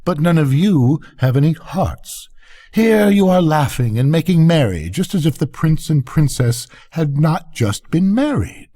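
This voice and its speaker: Storybook firework rocket, played by an older man: self-important, easily offended, theatrical, husky, highly animated. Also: a little slow. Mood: indignant. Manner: pompous, indignant, declamatory. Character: vain, pompous, self-pitying male firework rocket.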